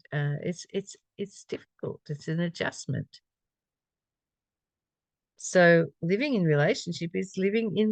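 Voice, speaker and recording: A spiritual study reader with a medium-pitched voice, recorded dry with very little room sound. The delivery moves between reading aloud and informal reflection, often repeating a phrase or putting a question to the listener. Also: no room sound.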